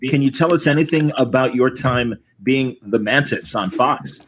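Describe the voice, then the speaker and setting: A man's voice: medium pitch, normal speed, fairly bright tone. A man hosting a conversational interview, asking questions in an eager, admiring way. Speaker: host interviewer. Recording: noisy video call audio.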